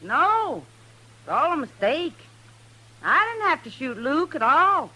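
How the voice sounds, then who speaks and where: Defiant backwoods American woman, high voice, swooping pitch, rustic drawl, radio western. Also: big pitch swings, slow, pushed and bright, full character voice, rough recording. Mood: pleading, insistent. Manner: plain-spoken, defiant, folksy. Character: stubborn rough-spoken frontier woman defending her man. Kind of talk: old-time radio western acting.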